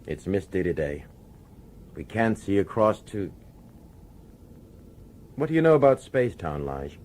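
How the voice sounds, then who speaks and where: A man's voice: sits low, sing-song, a little slow, a little mellow, slight background noise. An actor playing a middle-aged male police commissioner in a radio drama, nervous and insistent, pleading with urgency. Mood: grave. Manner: urgent, hesitant, pleading authority.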